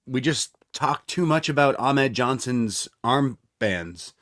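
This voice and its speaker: A man's voice: mid-range voice, animated, slow, fairly bright tone, no room sound, clean studio sound. A man co-hosting a podcast, talking in a casual, rambling, conversational way. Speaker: podcast co-host. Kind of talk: casual chat.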